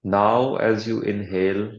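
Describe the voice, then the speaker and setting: A man leading a guided meditation as a coach, speaking calmly and deliberately with long pauses and soothing instructions. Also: fairly low pitch, roomy sound, highs cut off.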